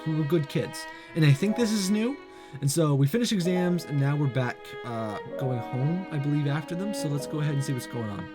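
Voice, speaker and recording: A man hosting a visual novel let's play, reading the story aloud with casual, playful asides. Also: on the high side. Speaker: gaming video host.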